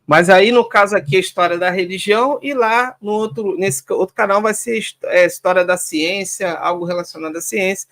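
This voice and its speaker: A man hosting a casual livestream, relaxed, reading viewer comments aloud and wrapping up. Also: high voice, fairly quick, hardly any pauses. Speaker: livestream host.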